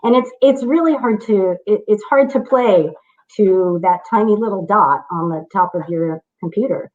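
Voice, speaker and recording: A woman's voice: mid-range voice, normal speed, darkish tone, lo-fi sound. A woman speaking as a public speaking coach in a casual interview, encouraging and coaching her listeners over a video call.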